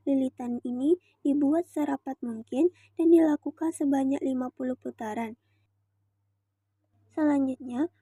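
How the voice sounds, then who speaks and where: Young woman, student presenter; high, very dark-soft timbre, formal scripted explainer delivery, dry recording. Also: no echo. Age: young adult.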